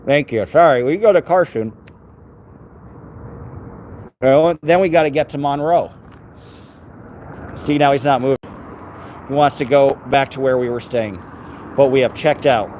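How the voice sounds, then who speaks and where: A man rambles casually while walking his dog down city streets, talking to his live viewers and his dog as he goes. His voice sits at an average pitch, picked up on a handheld phone, with faint traffic noise in the background. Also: moderate pace, long pauses, muffled recording. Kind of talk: casual live-stream chat.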